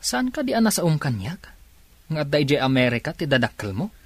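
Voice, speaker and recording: A man's voice: on the high side, big pitch swings, a little fast, no echo. An actor playing a supportive male coworker in an Ilocano radio drama, brotherly and given to philosophical advice. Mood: consoling.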